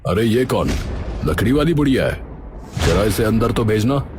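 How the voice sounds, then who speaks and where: A man playing a scheming village headman in a cartoon story, smooth at first but bossy and dismissive. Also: on the low side, lively intonation, normal speed, music in the background, close to an everyday voice. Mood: nosy, ingratiating. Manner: falsely friendly, then bossy and dismissive. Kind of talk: Hindi cartoon story acting.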